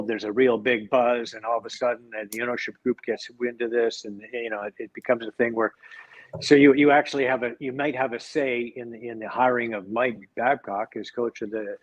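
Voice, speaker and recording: A man's voice: medium pitch, no room sound. Male host, eager rambling questioner, dry dull-toned recording. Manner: enthusiastic, rambling questions with repeated restarts. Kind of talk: casual interview chat.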